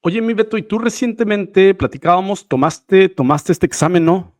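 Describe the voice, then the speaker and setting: A man's voice: sits high, animated, moderate pace, a little mellow, no room sound. Mexican man interviewing casually, close dry sound. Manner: familiar, slangy, buddy-like. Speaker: podcast host, interviewer.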